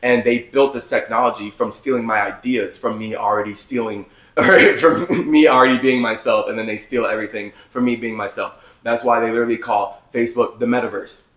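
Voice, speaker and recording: A man with a raspy, strained voice that is giving out, delivering a heated, ranting monologue as a vlogger. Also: medium pitch, fairly even intonation, fairly quick, a bit bright, roomy sound. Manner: heated, ranting, with run-on sentences. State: losing his voice. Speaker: vlogger host. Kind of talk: agitated monologue to camera. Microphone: phone.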